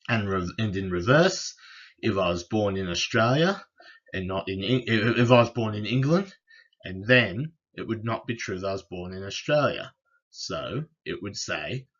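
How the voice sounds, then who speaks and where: Low husky guy talking thru logic slides, kinda muffled recording. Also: a bit bright. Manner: unhurried, with frequent fillers and restarts. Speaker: philosophy lecturer. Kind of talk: explaining logic over slides.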